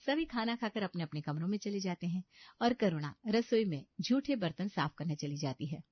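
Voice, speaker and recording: A woman's voice: fairly low pitch, expressive, normal speed, no echo, dull audio. A woman reading scripted narration of a family drama as a storyteller.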